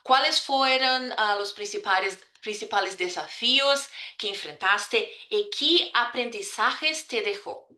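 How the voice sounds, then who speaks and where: A woman with a bright, clear voice set a little high hosts a podcast. She reads her welcome in an upbeat, measured way, then moves into interview questions. Her voice rings in a noticeably echoey room. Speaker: podcast host. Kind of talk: scripted intro, then interviewing.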